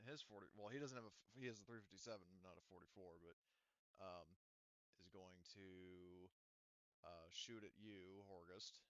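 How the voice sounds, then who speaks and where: A man running a tabletop roleplaying game as game master, narrating casually and haltingly as he thinks aloud. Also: deep voice, a bit bright, clear, resonant tone, dry recording.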